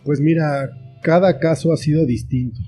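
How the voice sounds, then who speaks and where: A middle-aged Mexican man chats casually as an interview guest. His voice is fairly high for a man but very soft-edged and mellow, with guitar music playing along behind him. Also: fairly even intonation, normal speed. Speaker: interview guest, writer and film teacher.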